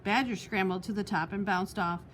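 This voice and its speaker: A woman's voice: medium pitch, steady tone, moderate pace, a bit bright, a little hiss or hum. A woman reading a children's picture book aloud expressively, as a storytime host. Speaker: storytime reader.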